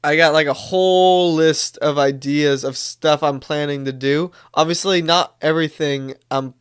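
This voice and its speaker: A man's voice: fairly high pitch, steady tone, unhurried, smooth. A young man recovering from a cold, chatting casually and rambling on a live stream. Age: young adult. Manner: rambling, self-correcting, upbeat. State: stuffy from a cold, coughing.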